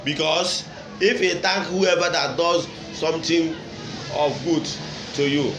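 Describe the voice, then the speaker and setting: A man from Nigeria with an Igbo accent, a native Igbo speaker, giving an unscripted religious lecture in a deliberate, emphatic, instructive way. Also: a little high, fairly even intonation, over music. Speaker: traditional religion teacher and online video host. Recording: echoey room with clear background noise.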